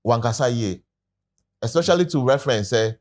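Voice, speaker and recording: A man's voice: medium pitch, lively intonation, fairly quick. A man with a Ghanaian accent hosting a talk show, presenting stories in a casual, chatty way.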